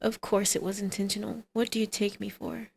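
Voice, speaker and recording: A woman's voice: medium pitch, level tone, a little fast, creaky, a little mellow, a little hiss or hum, close to an everyday voice. Woman acting a smug, teasing female villain. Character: brazen female supervillain, teasing, combative, scheming mother. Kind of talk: ASMR roleplay acting.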